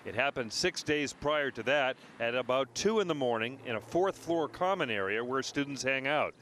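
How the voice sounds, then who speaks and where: A man's voice: mid-range voice, expressive, moderate pace, a bit bright, slight background noise. A man reporting live for television news in a scripted broadcast style.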